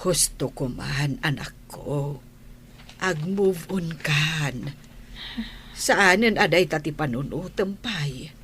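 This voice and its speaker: A woman acting a concerned, pleading middle-aged mother with a husky voice in an Ilocano radio drama. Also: low voice, expressive, slow pace, a bit bright, a little hiss or hum, exaggerated voice. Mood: urging, consoling.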